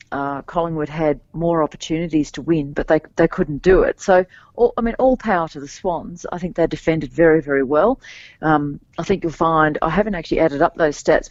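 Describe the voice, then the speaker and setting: A woman co-hosting a sports podcast, talking casually and a bit hoarse from cheering, with many restarts and fillers. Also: low-pitched, no room sound. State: slightly scratchy voice from cheering. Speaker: podcast co-host. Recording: dull, dry lo-fi podcast mic.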